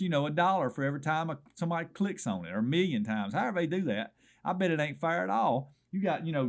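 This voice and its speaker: Folksy man rambling on a call-in. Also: on the high side. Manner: folksy, rambling, talks to host as brother. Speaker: radio swap shop caller. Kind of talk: comedic call-in segment reviewing listings.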